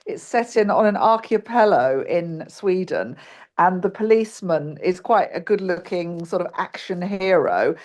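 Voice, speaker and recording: A woman's voice: fairly low pitch, lively intonation, normal speed, a little mellow. A woman in a book club chatting enthusiastically and rambling on a video call. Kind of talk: casual group chat.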